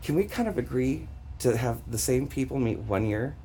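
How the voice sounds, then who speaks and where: Animated, jokey woman guest. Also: very deep voice, moderate pace. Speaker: podcast guest, performer. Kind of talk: casual chat. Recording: noisy room recording.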